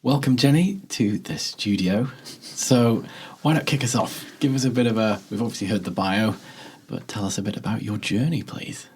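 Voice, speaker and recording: A man's voice: lowish voice, normal speed, few pauses, a little mellow. A man hosting a podcast in a studio, presenting the episode in a chatty, upbeat way. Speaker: podcast host.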